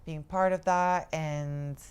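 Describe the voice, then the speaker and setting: Young female co-host, low pitched, casual slang-filled chat. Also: steady tone, takes it slowly, darkish tone, clear, resonant tone. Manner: slangy, enthusiastic, many "like"s. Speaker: podcast co-host.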